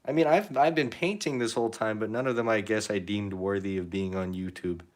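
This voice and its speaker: Young guy livestreaming his painting, lowish voice, rambles a lot, upspeak creeping in. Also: fairly quick. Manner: rambling, self-deprecating, reads chat comments aloud; pitch rises at phrase ends, upspeak. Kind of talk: casual live-stream chat while painting.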